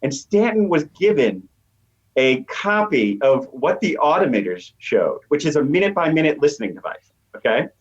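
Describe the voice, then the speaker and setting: A man's voice: fairly high pitch, sing-song, normal speed, slightly rough. A man explaining research as an academic guest in an interview, eager and emphatic, on lo-fi audio. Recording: dull, lo-fi remote audio.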